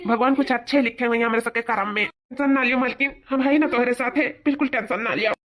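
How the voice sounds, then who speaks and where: औरत की निभाई ऊँची आवाज़ वाला दिलासा देता कार्टून नौकर. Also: female voice, on the high side, quick pace, natural voice. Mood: consoling, encouraging. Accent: eastern Uttar Pradesh. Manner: soothing, encouraging, deferential.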